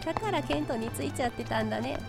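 Woman acting high-voiced spirited young ghost woman. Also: racing, creaky, over loud music. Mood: wistful. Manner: emphatic, expressive, pushy yet tender. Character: spirited young woman ghost, caring and bossy college friend. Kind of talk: Japanese audio drama acting.

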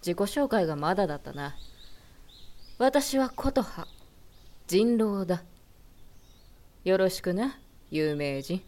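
A woman playing a tough, pregnant female werewolf who talks roughly and mockingly in a dry, masculine style, sometimes dropping to a whisper. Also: on the low side, lively intonation, slow, pauses often, dark tone. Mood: commanding. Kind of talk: fan voice drama acting.

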